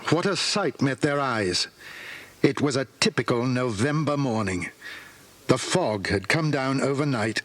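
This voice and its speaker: English storyteller, medium-pitched man. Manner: measured, expressive storytelling. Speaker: narrator. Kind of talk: scripted children's story narration. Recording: old cassette with faint music.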